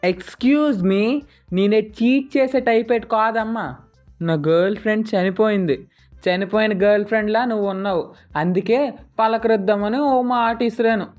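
A woman voicing a cheeky, flirtatious male pet dog with cocky, rustic speech in an animated story. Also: medium pitch, moderate pace, faint music behind, lightly acted. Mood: wounded pride. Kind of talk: animated moral story voice acting.

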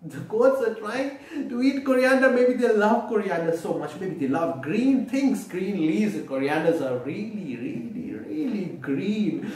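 Children's storyteller: Indian-accented man, unhurried, very animated intonation, recorded in an echoey room away from the mic. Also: sits high. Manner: expressive, repeats and explains for young listeners. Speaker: storyteller reading a picture book aloud. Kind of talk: children's read-aloud with explanations and questions. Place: small room indoors, seated at a table. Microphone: camera mic from a few feet away. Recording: echoey room, distant microphone.

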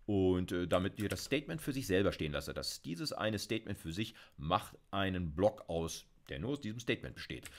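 A middle-aged man lecturing in a relaxed, chatty way, with many fillers and self-corrections, recorded on a close mic in a small room with keyboard typing behind him. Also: low voice. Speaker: university lecturer. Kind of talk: casual recorded video lecture with live coding.

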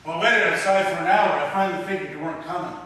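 A man's voice: high voice, very fast, pushed and bright. A man playing an exasperated, skeptical burglar in an amateur stage comedy, curt and incredulous. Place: large rehearsal stage set, camera far off. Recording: echoey hall from far off.

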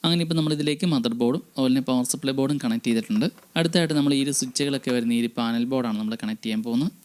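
A man's voice: mid-range voice, a little mellow, clean tone. A man, hosting an electronics repair channel and narrating a restoration tutorial step by step. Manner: explanatory, step by step, addressing viewers.

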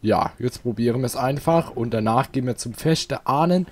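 A man's voice: medium pitch, a little fast. Chatty man commenting on a game. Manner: chatty, relaxed, thinking aloud. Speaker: let's play host. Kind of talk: casual gameplay commentary. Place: home, seated on a chair.

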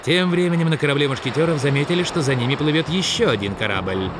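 A man's voice: on the high side, fast talker, background noise. A man narrating a dub of a cartoon in a dramatic, storytelling manner.

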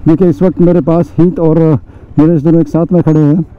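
High-voiced man narrating a bike ride. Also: flat delivery, brisk, no echo. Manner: chatty, addresses viewers as friends, many fillers. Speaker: motovlogger. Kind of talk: casual narration while riding. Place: outdoors on a motorcycle on city and village roads. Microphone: helmet or bike-mounted action camera mic. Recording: dull lo-fi outdoor riding audio with faint road noise. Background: faint traffic and road noise.

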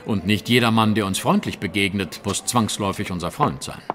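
An actor playing a shrewd, composed male detective in an audio drama, speaking in a measured, formal, precise way. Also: fairly low pitch, noisy background, lightly acted. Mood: cautioning. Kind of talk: German audio drama dialogue.

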